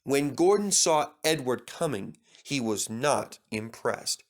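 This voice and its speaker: A man's voice: medium pitch, animated, slow pace. A man narrating a scripted children's story as a storybook reader.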